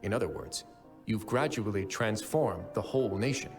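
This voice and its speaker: A man voicing a composed, shrewd, gentlemanly fortress overseer in a video game, articulate and deliberate. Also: fairly low pitch, animated, over music, lightly acted. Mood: earnest, assured.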